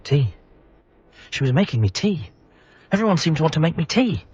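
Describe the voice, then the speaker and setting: An actor playing an anxious, grieving adult son in a radio comedy drama, with a wry, hesitant and apologetic manner. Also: male voice, on the high side, sing-song, normal speed, a little mellow, slightly rough, no echo, muffled recording. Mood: reflective.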